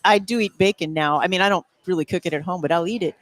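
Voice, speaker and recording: A woman's voice: on the low side, fast. A woman food writer, a guest on a talk show, chatting in an easygoing, self-deprecating way. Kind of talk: casual chat.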